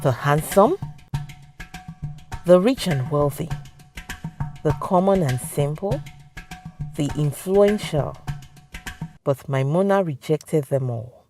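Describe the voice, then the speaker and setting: Nigerian female storyteller, low register, scripted folktale narration over soft music. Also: animated, takes it slowly, long pauses.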